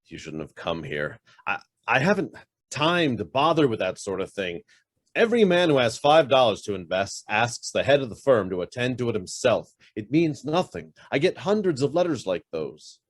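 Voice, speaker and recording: Guarded middle-aged businessman in a radio play, acted by a man; lively, swinging intonation, clipped and haunted by guilt. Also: medium pitch, normal speed. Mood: curt, impatient. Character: guarded, brusque investment firm boss hiding a guilty past. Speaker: actor. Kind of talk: radio drama acting.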